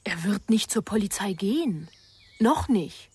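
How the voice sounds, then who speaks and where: An actress playing a frightened, guarded young daughter of a respected family, hesitant and subdued in a radio drama. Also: female voice, medium pitch, lively intonation, a little slow, husky, dry recording. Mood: anxious, guarded. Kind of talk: German radio crime drama acting.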